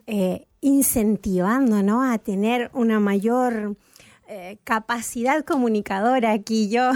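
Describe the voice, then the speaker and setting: A woman's voice: fairly high pitch, a little mellow, dry recording, clean studio sound. A woman from Chile hosting a radio health show, chatty and full of fillers and restarts.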